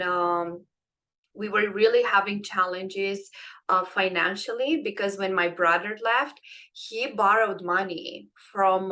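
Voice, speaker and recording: A young woman with a Romanian accent tells her story as a podcast guest. Her voice is bright and forward, and she talks in an earnest, searching way, full of ums and restarts. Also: medium pitch. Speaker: guest, transitions coach. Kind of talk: personal storytelling in a podcast interview.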